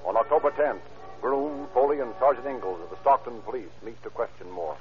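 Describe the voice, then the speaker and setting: A man's voice: medium pitch, fairly quick, lightly acted. A man as an authoritative narrator of a 1930s radio crime drama. His delivery is formal and clipped, over prominent music, on a dull vintage recording. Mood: grave, urgent.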